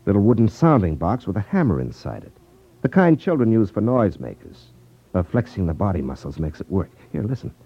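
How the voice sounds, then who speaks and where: Detective lead, male: deep, animated delivery, wry confidence, vintage radio drama with dulled sound. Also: brisk, breathy edge, heavily acted. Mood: wry amusement. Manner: wry, self-assured, explanatory. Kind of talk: 1950s radio drama acting. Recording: muffled 1950s radio recording.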